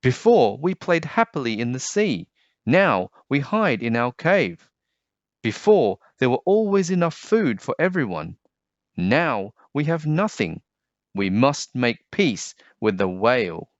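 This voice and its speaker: A man plays an earnest young-hearted storybook fish with a raised, lively voice. He pleads sincerely for peace, in a dry and slightly dull recording. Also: medium pitch, slow, dry recording, pitched above the performer's own voice. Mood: earnest, frustrated. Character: earnest, peace-seeking male hero fish.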